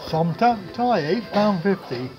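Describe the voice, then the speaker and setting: A middle-aged man with a Southern English accent, talking slowly and wryly with a slightly dull, muffled sound. He films himself in a small dark restaurant, with clear music playing behind him. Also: high voice, very animated. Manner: chatty, wry, exclaims at prices. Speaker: travel vlogger. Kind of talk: casual vlog chat. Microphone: camera across the table.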